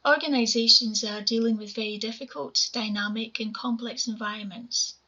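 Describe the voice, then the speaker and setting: A woman in her forties with a Scottish accent and a quiet voice, giving a measured conference talk from home over a webcam. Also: on the high side, fairly even intonation. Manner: measured, explanatory presenting. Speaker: conference speaker. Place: home room, indoors. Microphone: webcam video call. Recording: dull lo-fi webcam audio.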